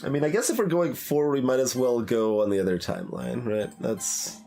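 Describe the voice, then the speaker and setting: A man's voice: mid-range voice, fairly quick, creaky, dark tone, a little hiss or hum. A man doing casual gameplay commentary as a streamer, thinking aloud in a hesitant way with stammers and repeated phrases. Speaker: gaming streamer doing a blind playthrough. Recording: game music behind the voice. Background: video game music.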